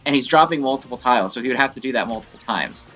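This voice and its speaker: A man talks through gameplay in a bright voice of average pitch. He is casual and rambling, often stopping to correct himself and find the word. Faint music plays behind him. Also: lively intonation, low-quality recording. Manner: halting, self-correcting, thinking aloud. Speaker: gaming video host. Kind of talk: casual rambling game commentary.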